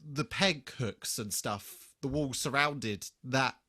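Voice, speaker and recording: A man co-hosting a podcast in casual chat, telling personal anecdotes in a sweary, halting, self-deprecating way. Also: mid-range voice, sharp tone. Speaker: podcast co-host.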